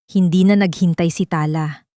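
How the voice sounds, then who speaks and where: A woman's voice: on the low side, fairly even intonation, normal speed, dark tone, no echo. Dry-recorded woman narrating drama. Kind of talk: dramatic scripted story narration.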